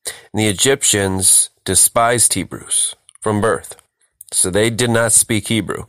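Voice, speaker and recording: A man's voice: fairly low pitch, steady tone, takes it slowly, fairly bright tone, clean tone, dry recording. A man leading a verse-by-verse Bible study, reading scripture and explaining it emphatically, repeating phrases for stress. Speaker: Bible teacher, host.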